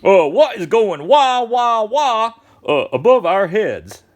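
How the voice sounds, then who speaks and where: Man putting on high, startled storybook neighbour. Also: sing-song, heavily acted. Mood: startled, exclaiming. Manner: exaggerated, drawn-out sing-song exclamations. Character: startled picture-book neighbours calling out at noises overhead.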